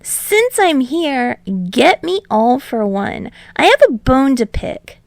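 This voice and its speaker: A woman's voice: high voice, big pitch swings, slow, vocal fry, no echo, voice pushed up, exaggerated voice. A woman voicing a frazzled, snarky teenage boy in an audiobook, whiny, indignant and sarcastic. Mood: defiant, sarcastic. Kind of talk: audiobook character voice for fan fiction.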